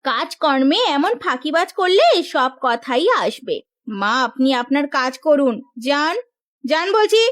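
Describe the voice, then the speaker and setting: A woman playing a domineering, scolding sister-in-law in a Bengali village cartoon, with a sharp, nagging manner. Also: high-pitched, expressive, measured pace. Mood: scornful, bossy.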